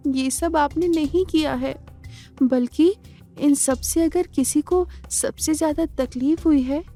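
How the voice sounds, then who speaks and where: A woman acts an earnest young woman in an audio drama, pitched up and softly mellow. She pleads emphatically, insistent but tender, over music. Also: slow pace. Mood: tender, consoling. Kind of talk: Hindi audio drama character acting.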